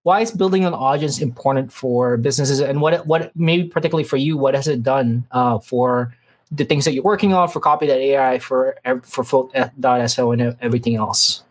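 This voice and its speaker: A male podcast host with an average pitch, who sets up questions casually and sums up what his guest says. He murmurs agreement often as the guest talks, keeping a friendly interviewing tone. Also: fairly quick.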